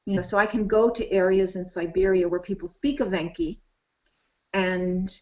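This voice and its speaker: A woman's voice: mid-range voice, a little mellow. Enthusiastic woman explaining languages. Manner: enthusiastic, thinks aloud with restarts. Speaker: language expert guest. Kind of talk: casual discussion. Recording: dull lo-fi live stream audio.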